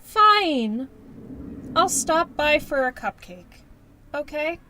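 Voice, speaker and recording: A woman playing a reluctant, exasperated high school girl in an audio drama, with dry, grudging first-person narration and dialogue. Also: big pitch swings, takes it slowly, noisy background, heavily acted.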